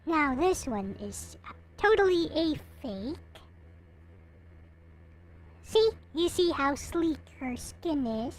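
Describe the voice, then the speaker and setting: A woman giving a casual, unscripted show-and-tell as a vlogger, in a halting way as she thinks aloud. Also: high-pitched, animated. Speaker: vlogger showing collectible figures.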